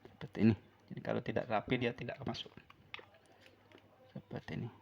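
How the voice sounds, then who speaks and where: A man's voice: on the low side, level tone, fast talker, gravelly, a little mellow, dull audio. A man gives an unscripted hands-on repair tutorial, explaining step by step and often repeating himself. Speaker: phone repair tutorial presenter.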